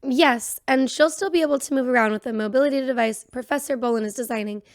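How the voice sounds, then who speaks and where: High-voiced earnest young woman doctor. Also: fast talker. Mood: self-doubting. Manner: earnest, unsure of herself. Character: earnest, self-doubting young female doctor.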